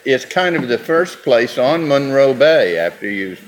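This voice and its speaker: A male radio host keeps the conversation loose and jokey as he interviews a guest over a drink in a restaurant. His voice sits at a middling pitch, with faint room noise behind. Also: unhurried, low-quality recording. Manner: relaxed, chatty, jokey.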